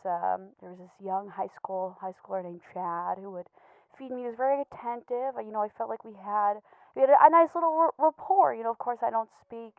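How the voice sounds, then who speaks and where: A woman's voice: medium pitch, dry recording, phone-quality sound, lightly acted. Woman as nervous rambling little pet fish leaving a comedy voicemail, stammers, apologetic, thin phone audio, no room sound. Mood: wistful. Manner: hesitant, stammering, halting, apologetic. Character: anxious, rambling, earnest male pet betta fish, gently judgmental. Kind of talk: scripted comedy monologue as a voicemail.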